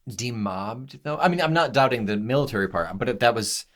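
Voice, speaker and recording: A man co-hosting a casual podcast, easygoing and quick to laugh, talking over song lyrics. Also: mid-range voice, lively intonation, fairly bright tone. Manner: easygoing, laughs often, reads definitions aloud. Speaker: podcast co-host.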